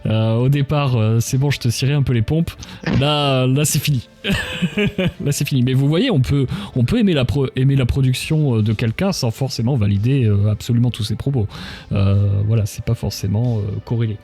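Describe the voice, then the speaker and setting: A man's voice: mid-range voice, moderate pace, warm tone, husky, faint music behind. A man hosting a casual live-stream interview in an informal, joking way. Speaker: interview host.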